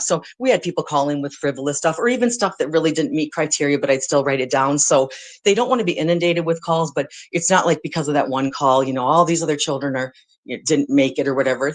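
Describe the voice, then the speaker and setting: An American speaker explaining things informally as a guest, in a rambling, conversational and blunt way. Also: medium pitch. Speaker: guest, former social services worker.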